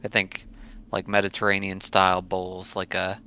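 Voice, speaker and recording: A man's voice: low voice, completely flat delivery, moderate pace, pushed and bright, clear, resonant tone. A man chatting casually as a player on a gaming podcast. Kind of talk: casual chat. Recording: dull, noisy home recording.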